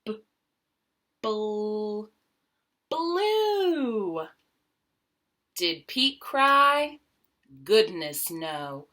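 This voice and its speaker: Slow, bright-voiced woman reading to children. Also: medium pitch. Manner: expressive, with drawn-out key words and quieter asides. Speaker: storyteller reading a picture book aloud. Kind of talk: animated children's read-aloud with questions to listeners.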